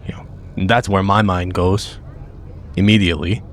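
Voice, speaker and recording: A man's voice: low voice, fairly even intonation, moderate pace, a little hiss or hum, natural voice. A man playing a blunt, practical manhunter agent in a tabletop roleplay, plain-spoken and dry. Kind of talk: improvised tabletop roleplay dialogue.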